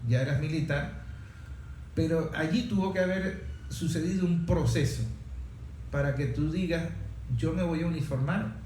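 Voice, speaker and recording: A man's voice: on the high side, normal speed, pauses often, darkish tone. A man asking questions conversationally as an interviewer. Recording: echoey room, distant, background noise.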